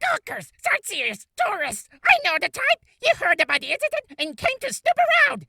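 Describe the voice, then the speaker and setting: A woman's voice: very high-pitched, huge pitch swings, moderate pace, creaky, bright, forceful tone, dry recording, recorded from a distance, full character voice. A woman voicing a cranky elderly woman from a video game, scornful, scolding and rambling. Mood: scornful ranting. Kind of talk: video game character voice acting.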